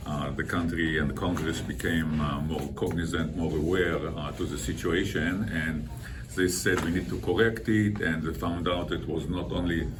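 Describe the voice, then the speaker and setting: Older Israeli man, very deep voice. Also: steady tone. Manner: halting, explanatory. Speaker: guest speaker, environmental consultant. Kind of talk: informal presentation. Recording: distant mic with loud background noise.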